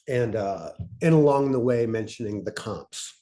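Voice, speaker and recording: Dark mellow-voiced guy explaining stuff at a workshop, halting, lots of uh and you know, mid pitch. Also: animated. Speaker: co-presenter.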